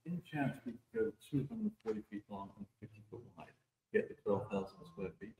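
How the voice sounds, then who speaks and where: A man's voice: mid-range voice, sing-song, fairly quick, vocal fry, mellow, recorded from a distance. A man talking casually in a side conversation, picked up from away from the mic in a noisy room.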